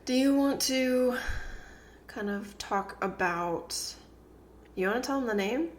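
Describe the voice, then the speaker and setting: A woman's voice: a little high, slow. A woman co-hosting a casual podcast with her partner, a little congested and nasal, rambling with fillers and restarts into a desk microphone. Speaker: co-host of a couple's channel. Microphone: new desk microphones.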